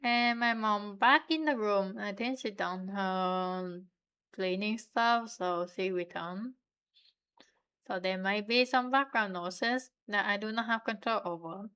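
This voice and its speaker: A young woman from Vietnam with a Vietnamese accent, chatting to viewers in a relaxed, giggly way while she draws on stream. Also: mid-range voice, slow, smooth. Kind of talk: casual stream chat while drawing.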